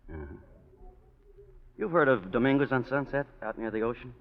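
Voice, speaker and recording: An actor playing a smooth-talking, slangy gambler with a criminal edge in a 1940s radio drama. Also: male voice, medium pitch, animated, normal speed, close to an everyday voice. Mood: smooth, coaxing. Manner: slangy, breezy, persuasive. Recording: dull vintage 1940s radio recording.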